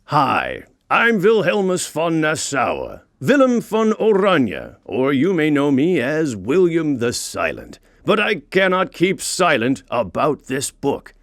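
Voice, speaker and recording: A man's voice: a little high, wildly swooping pitch, slow, hardly any pauses, no echo, clean studio sound, full character voice. A man voicing a proud, indignant sixteenth-century Dutch prince in a comic audiobook, grand and mock-solemn in delivery. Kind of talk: comic audiobook monologue in character.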